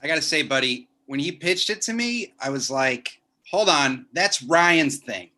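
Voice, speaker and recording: Male, thirties, actor as chummy, needling rival comic; very bright, slightly high voice, comedy table read. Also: expressive, unhurried. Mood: falsely friendly. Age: 30s.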